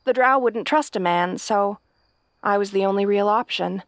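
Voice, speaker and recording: A woman's voice: mid-range voice, moderate pace, dry recording, clean studio sound, lightly acted. A woman playing a kind, naive young princess in a fantasy audio roleplay, speaking politely and hesitantly to the listener. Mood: earnest explaining. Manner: hesitant, polite, rambling earnest explanations.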